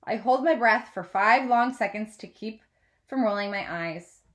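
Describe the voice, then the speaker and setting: A woman reading a children's novel aloud as a teacher would, in an expressive storytelling style. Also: medium pitch, unhurried.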